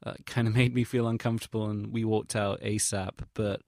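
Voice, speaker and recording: Low-voiced young English man, casual and rambling. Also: little pitch movement, a little fast, a little mellow, dry recording, studio quality. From England. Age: young adult. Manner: rambling, hesitant, self-deprecating; informal, sweary, slangy. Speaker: vlogger. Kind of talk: casual retrospective audio log.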